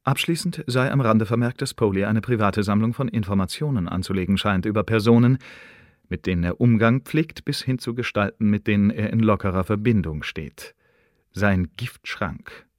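An actor playing a fussy secret service secretary, delivering a formal report in a measured, circumlocutory way in a radio play. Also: male voice, fairly low pitch, dry recording, clean studio sound, natural voice. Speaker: intelligence official giving an assessment. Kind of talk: radio drama monologue, formal bureaucratic report. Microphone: close dry studio microphone.

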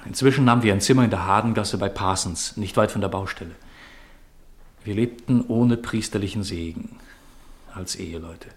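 An actor playing a troubled, guilt-burdened widower in a 1950s radio drama, speaking in a grave, formal, confessional way. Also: male voice, fairly low pitch, moderate pace, lightly acted. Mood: burdened, confessing. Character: troubled, guilt-burdened widower confiding his forbidden love.

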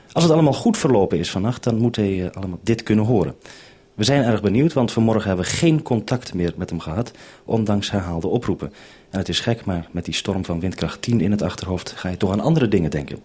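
A man's voice: low voice, expressive, quick pace, darkish tone, husky. A man with a Netherlandic Dutch accent presenting and interviewing over a live radio link in a vintage 1970s broadcast.

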